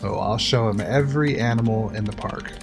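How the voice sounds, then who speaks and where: A man's voice: on the low side, fairly quick, vocal fry. Man playing a proud, confident control-room engineer. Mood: explaining. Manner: confident, explanatory. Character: proud, matter-of-fact male park control-room engineer. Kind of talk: audio drama acting. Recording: music under the voice. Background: music.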